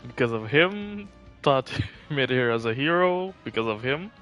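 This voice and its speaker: A man's voice: mid-range voice, animated, unhurried, a bit bright, muffled recording, soft background music. A man giving casual live commentary as he streams a video game.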